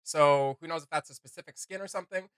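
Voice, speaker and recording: A man's voice: a little high, fast, fairly bright tone, clear, resonant tone, no room sound, clean studio sound. A man hosting a reaction video, chatting casually with slangy enthusiasm.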